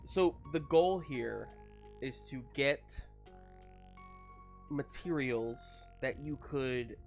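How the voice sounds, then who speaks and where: A man's voice: mid-range voice, drawn-out words, gravelly, faint music behind. A man working as game master, improvising narration and talking through a tabletop game.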